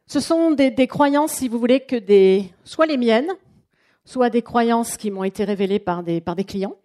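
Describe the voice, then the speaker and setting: Chatty woman with a mellow voice. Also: on the high side, unhurried. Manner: lively, chatty, acts out quotes. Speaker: trainer and coach. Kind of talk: live workshop presentation. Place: training room with an audience.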